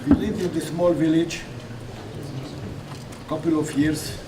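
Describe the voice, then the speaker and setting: A man's voice: fairly high pitch, steady tone, measured pace. Older man speaking in an echoey hall. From Hungary. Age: 80s. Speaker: guest speaker. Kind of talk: personal testimony. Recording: echoey hall, mic at a distance, noisy.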